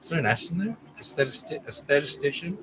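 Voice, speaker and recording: A man's voice: fairly high pitch, expressive, brisk, background noise. A man hosting a casual livestream, rambling with restarts and reading out viewer names. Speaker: channel host.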